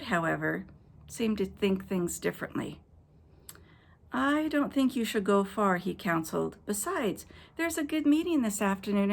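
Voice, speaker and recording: A woman's voice: mid-range voice, gravelly. A woman pastor reading an adventure story aloud to children, voicing the quoted characters and stopping to talk to her young listeners. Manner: expressive storyteller, voices quoted characters, pauses to address kids.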